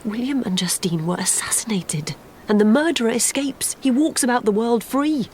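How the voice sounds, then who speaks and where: An actress playing a gentle, grieving young gentlewoman in an audio drama, with earnest, formal, literary speech. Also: fairly high pitch, moderate pace, a little hiss or hum, lightly acted. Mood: anguished, bitter. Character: sorrowful, gentle young gentlewoman, steadfast in grief.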